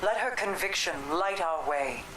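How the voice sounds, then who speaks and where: A woman voicing a calm, commanding queen in a video game cutscene, speaking in a measured, formal way. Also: fairly low pitch, lightly acted. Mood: regal. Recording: echoey game audio with noise.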